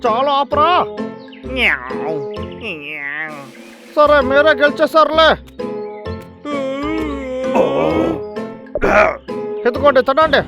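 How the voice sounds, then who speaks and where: A very high-pitched cartoon logger, whines and scolds in exasperation. He grumbles like someone endlessly pestered in his own home, over a clear bed of music. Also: fairly even intonation, fast talker, muffled recording. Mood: resigned. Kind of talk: dubbed children's cartoon acting.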